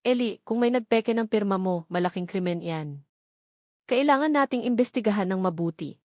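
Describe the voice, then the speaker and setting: A woman's voice: mid-range voice, lively intonation, moderate pace, darkish tone, no echo, clean studio sound, natural voice. A woman narrator voicing a serious, seasoned attorney and old friend in an audio storybook. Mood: grave, concerned. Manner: grave, questioning.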